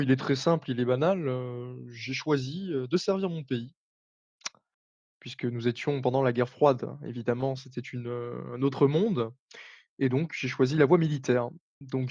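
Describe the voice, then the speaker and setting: A man playing a retired navy commander turned politician, answering interview questions in a formal, halting way full of filler sounds. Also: medium pitch, darkish tone, no echo, lightly acted. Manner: formal, hesitant, frequent filler sounds and restarts. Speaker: interview guest. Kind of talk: roleplay interview. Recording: dull dry sound.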